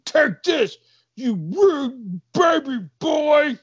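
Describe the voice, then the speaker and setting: A man voicing a blustering but easily hurt guard in a comedy story, shouty, whiny and childish. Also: very high-pitched, sing-song, extremely slow, no echo, highs cut off, voice pushed up, exaggerated voice. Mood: wailing.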